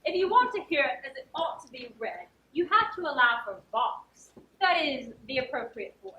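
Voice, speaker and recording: A woman's voice: high-pitched, animated, moderate pace, sharp tone, dull audio. A woman playing a cheeky, mock-solemn jester serving a noblewoman in a theatrical stage comedy. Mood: playful, wry. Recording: roomy, echoing stage sound.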